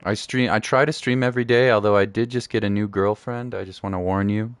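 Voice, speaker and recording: A man's voice: fairly low pitch, steady tone, brisk, clear, resonant tone, no room sound. A man chatting casually as a live game streamer, easygoing and prone to repeating himself, close on the mic.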